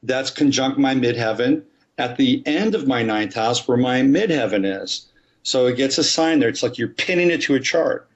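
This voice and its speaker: Man, astrologer guest, methodical explainer, mid pitch, muffled lo-fi sound. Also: animated, moderate pace. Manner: methodical, talks through examples step by step. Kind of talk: explaining in a podcast interview.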